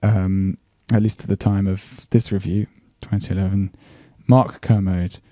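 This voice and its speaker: A man introducing his podcast episode, his voice husky from laryngitis, speaking in a measured, slightly quiet way. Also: deep voice, level tone, no echo, clean studio sound. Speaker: podcast host. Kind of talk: scripted show introduction.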